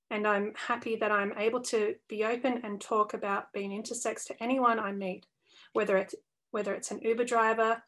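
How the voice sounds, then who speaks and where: A young woman earnestly reading a first-person essay aloud for a youth publication, with a steady delivery and small stumbles along the way. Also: mid-range voice, low-quality recording. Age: young adult.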